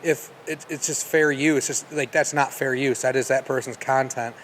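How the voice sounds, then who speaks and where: A young man hosting a casual podcast chat, quick and emphatic with slangy phrasing. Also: mid-range voice, fairly even intonation, a bit bright, a little hiss or hum.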